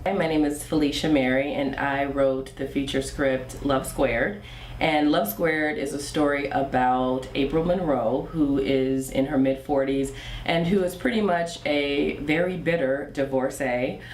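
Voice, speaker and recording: A woman with a very low voice presents a screenplay, halting with frequent uhs. The recording is echoey and a little distant. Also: fairly even intonation, a little mellow. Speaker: screenwriter presenting their script. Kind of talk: spoken introduction of a script and scene. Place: theater stage. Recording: echoey theater, distant mic, faint noise.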